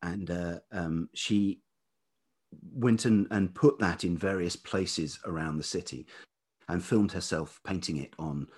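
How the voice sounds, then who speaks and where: Middle-aged male, deep velvety voice with some huskiness, hesitant reflective storyteller in relaxed interview conversation. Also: breathy edge. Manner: hesitant, halting, circling back. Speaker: podcast guest, writer and musician.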